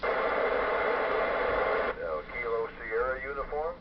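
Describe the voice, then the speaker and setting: An American man chatting casually as an amateur radio operator in a folksy, halting way, heard over an FM radio transmission. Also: medium pitch, fairly even intonation. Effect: FM shortwave radio transmission. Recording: muffled, noisy fading ham radio signal. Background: radio static.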